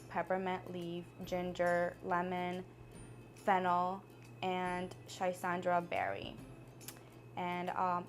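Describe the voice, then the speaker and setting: A woman vlogging to camera, giving earnest wellness advice in a casual way, with fillers and restarts. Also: on the low side.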